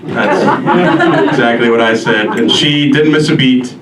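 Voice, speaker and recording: A man from Albania doing a self-deprecating stand-up comedy set, with a deadpan, halting delivery. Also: mid-range voice, over a noisy crowd. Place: live comedy show before an audience. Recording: roomy echo, distant mic, noisy live venue.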